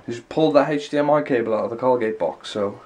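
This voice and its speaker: A man's voice: mid-range voice, quick pace, a little mellow. A young man with a stuffy, congested nose and sore throat, chatting casually in a vlog and rambling in loose run-on sentences, filmed indoors at home on a handheld camera at arm's length. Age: young adult. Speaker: vlogger. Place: small rooms in a house, indoors.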